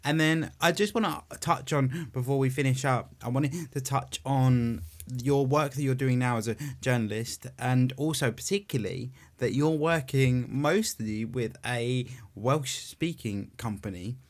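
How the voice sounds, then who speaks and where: A man's voice: medium pitch, measured pace, few pauses. A man from England hosting a podcast, asking rambling, self-deprecating questions in casual chat. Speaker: podcast host.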